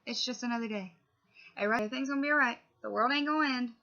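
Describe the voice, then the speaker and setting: Sniffly young woman with a creaky voice. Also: on the high side, very fast, bright, forceful tone. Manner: rambling, lots of fillers and asides. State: sniffly from a cold. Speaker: vlogger. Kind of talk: casual update chat to camera. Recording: dull lo-fi audio.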